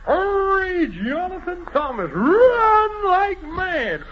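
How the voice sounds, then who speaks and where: A man's voice: extremely high pitch, sing-song, drawn-out words, full character voice. An actor playing an eager young male companion who urges his friend to hurry. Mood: urgent. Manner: urgent, rhyming. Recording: dull old radio recording with faint hiss.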